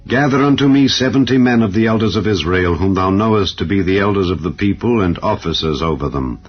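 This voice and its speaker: A man reads scripture as a dramatized narration, with a solemn, measured delivery. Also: sits low, lively intonation. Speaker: Bible narrator. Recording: dull, band-limited audio with background music. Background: background music.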